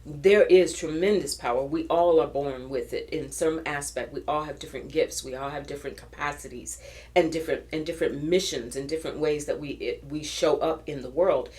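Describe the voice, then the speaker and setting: A woman giving an earnest, encouraging tarot reading, her voice a little raspy from recording early in the morning. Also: low voice, a little fast, a little mellow. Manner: earnest, encouraging, spiritual phrasing with many restarts. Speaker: tarot reader. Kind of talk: pick-a-card tarot reading.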